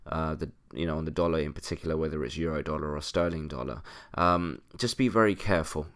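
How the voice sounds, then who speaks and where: A man with a very low voice, stuffy and congested from a cold. He talks through market news alone as a podcast host, steady and explanatory, with frequent "um" fillers. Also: quick pace. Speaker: podcast host, currency analyst. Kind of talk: solo market commentary podcast.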